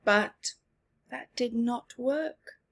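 A middle-aged woman reading aloud to children with commentary, in an expressive, emphatic manner. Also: a little high, very slow, vocal fry, husky. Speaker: storyteller for children. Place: small living room, indoors.

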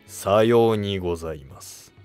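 A man's voice: low-pitched, darkish tone, clean tone, faint music behind. Man voicing a guarded, wily court minister. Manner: formal, measured, evasive. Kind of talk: historical drama animation.

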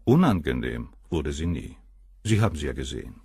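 A cold, cynical famous surgeon. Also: male voice, rumbling low, very animated, fairly quick, a little mellow, breathy edge, no room sound, clean studio sound, full character voice. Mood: cool. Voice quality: cool, cynical, dismissive. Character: cold arrogant famous male surgeon, middle-aged. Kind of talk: radio play acting.

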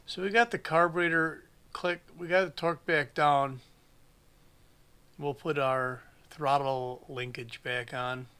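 A man's voice: a little high, fairly even intonation, measured pace, sharp tone, clear, resonant tone. A man in his forties narrating a chainsaw repair at his workshop bench, plain-spoken with dry humor. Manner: plain-spoken, dry humor, thinks aloud while working. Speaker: small engine repair shop mechanic.

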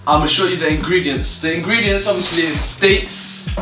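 A man's voice: on the high side, moderate pace, distant mic. Young male vlogger, mock chef, stumbling and bossy; echoey kitchen, off-mic, music behind. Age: young adult. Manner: stumbling, playful, bossy with the cameraman. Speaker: vlogger playing a cooking show host. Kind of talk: joking mock cooking show. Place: small home kitchen, indoors. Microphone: camera mic on a handheld camera.

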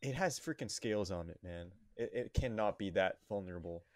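A man's voice: on the low side, expressive, fairly quick, no room sound, clean studio sound. A young man co-hosting a podcast, arguing his points casually but emphatically. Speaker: podcast co-host. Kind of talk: casual argumentative chat.

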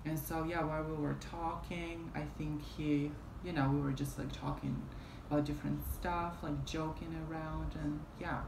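A woman's voice: sits low, level tone, normal speed, vocal fry, few pauses. A young woman telling a story casually on camera at home, rambling with many fillers and restarts, a fan running beside her. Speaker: vlogger. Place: small room at home, indoors, fan running. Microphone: camera in front at arm's length. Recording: roomy with fan noise behind. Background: fan hum.

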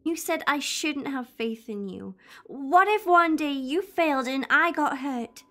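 A woman playing a tough, stubborn young time traveller's companion in an audio drama, blunt and quick to answer back. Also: sits high, lively intonation, bright, forceful tone, heavily acted. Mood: firm, resolute.

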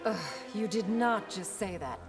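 A woman's voice: mid-range voice, a little slow, over music, lightly acted. An actress voicing a dignified warrior woman in a video game, poised, formal and withering. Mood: dismissive amusement. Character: regal warrior woman, dignified, amused yet dismissive. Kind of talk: video game fight-intro banter.

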